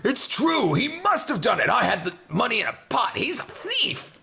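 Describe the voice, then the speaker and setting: An indignant townsperson from a role-playing game, hammed up by a man. The voice is raised high and swings widely, accusing and overacted. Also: wildly swooping pitch, normal speed, creaky, bright, forceful tone, soft background music, exaggerated voice.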